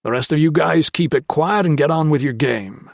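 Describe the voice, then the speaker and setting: A blunt, shrewd officer barks orders and questions, as a male narrator puts him on. His voice is gravelly and husky, pitched above the narrator's own. The audiobook sound is close and dry. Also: normal speed, no echo. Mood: gruff, commanding.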